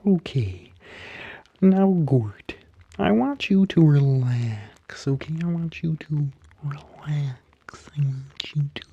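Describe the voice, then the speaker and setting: Male actor as eccentric, politely menacing barber creature for ASMR roleplay: extremely unhurried, husky half-whisper, hesitant pauses. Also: a little high, muffled recording, full character voice. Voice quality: soft, breathy, half-whispered. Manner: halting, drawn-out, murmuring with hesitant pauses. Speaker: ASMR performer.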